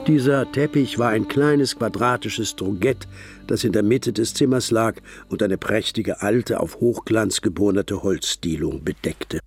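An actor playing a composed, observant gentleman who narrates a detective's case in a German radio drama, in measured literary style. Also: on the low side, breathy edge, faint music behind. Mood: composed, descriptive.

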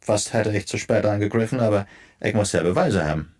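An actor playing a clever, modest, chatty reporter-detective in a radio play, explaining things in a casual way. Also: male voice, lowish voice, a little fast, darkish tone, natural voice. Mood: amused, explaining. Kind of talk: German radio play acting.

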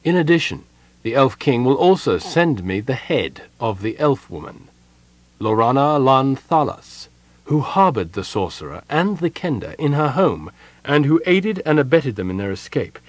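Male narrator as cautious knight officer, respectful, earnest, very varied pitch, storybook. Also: very animated, normal speed, no room sound. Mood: formal reading.

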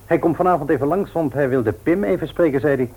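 An actor playing a practical middle-aged father in an old Dutch radio family serial, with a husky voice. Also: a little high, fast talker, warm tone, low-quality recording. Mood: matter-of-fact.

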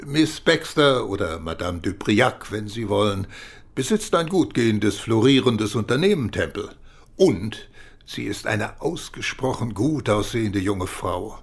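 Husky, expressive senior police chief. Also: male voice, lowish voice, measured pace, heavily acted. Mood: measured, thoughtful. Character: senior British police chief, measured, gentlemanly. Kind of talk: German radio play acting.

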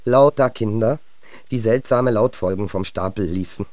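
An actor playing a mocking storyteller of childhood memories in a radio drama, with drawn-out, exaggerated delivery. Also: male voice, fairly low pitch, darkish tone, dry recording, close to an everyday voice. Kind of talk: acted radio drama interjections.

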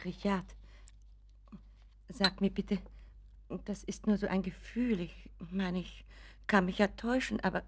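A woman's voice: mid-range voice, steady tone, unhurried, pauses often, husky. An actress playing an anxious, doting mother in a German radio drama, fussing and apologetic, often dropping to a whisper. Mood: worried, coaxing. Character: anxious, doting, pleading mother of grown son.

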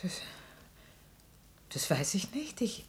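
An actress playing an anxious, caring wife, fretful and hesitant, in a noisy 1950s radio play. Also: female voice, mid-range voice, sing-song, takes it slowly, a little mellow. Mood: nervous, evasive. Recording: noisy old radio recording.

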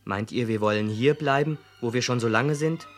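A contrary, sceptical son, played by a man in a seventies radio drama. The voice sits at a middling pitch and argues back. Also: normal speed, soft background music, lightly acted. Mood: challenging. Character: sceptical, contrary young son arguing with parents. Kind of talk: 1970s German radio play acting.

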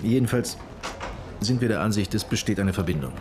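An actor playing a sober, persistent police detective in a radio drama, questioning people matter-of-factly. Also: male voice, fairly low pitch, lively intonation, fairly quick, noisy background, natural voice.

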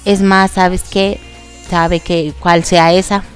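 A woman's voice: lowish voice, level tone, slow, soft background music. A woman hosting an online radio show, nasal and congested from allergies, chatting casually with many fillers and giggles. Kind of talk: casual radio chat about K-dramas.

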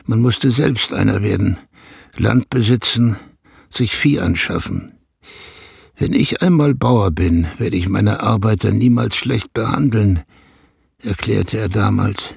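An actor playing an embittered old herdsman, who looks back on his youth in a restrained, reflective radio drama monologue with a husky, gravelly voice. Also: lowish voice, slow pace, darkish tone, dry recording, studio quality, full character voice. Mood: bitter, reflective.

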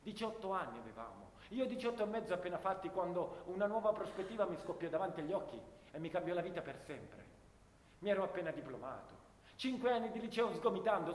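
Disillusioned young First World War soldier, theatrical monologue, declamatory, high-pitched, strongly echoing sound. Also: male voice, slight background noise. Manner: impassioned, rhythmic, declamatory, piling up lists. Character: disillusioned eighteen-year-old Italian volunteer soldier of the First World War. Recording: reverberant radio-theatre sound with guitar music.

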